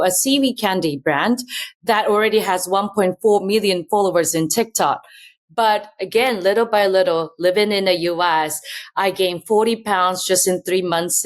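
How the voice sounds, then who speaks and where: A woman in her thirties from Japan with a Japanese accent, talking earnestly as an interview guest, filling her sentences with "you know" and loose phrasing. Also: medium pitch. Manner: earnest, chatty, many "you know" fillers, loose grammar. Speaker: guest, company founder. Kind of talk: casual interview talk.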